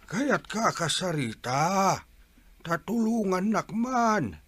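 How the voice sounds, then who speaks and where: Slow, pleading villager woman. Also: mid-range voice, sing-song, breathy edge, no echo, studio quality, full character voice. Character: villager pleading with the captain for help. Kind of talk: comedy radio drama acting.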